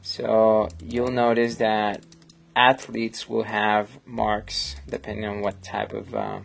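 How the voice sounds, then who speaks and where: A man's voice: lowish voice, completely flat delivery, slow, creaky. A man with a Colombian accent, a Spanish native speaker, chatting on a live stream while he edits photos, rambling with lots of fillers. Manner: rambling and friendly, many fillers, reads chat aloud. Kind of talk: casual live-stream chat while editing.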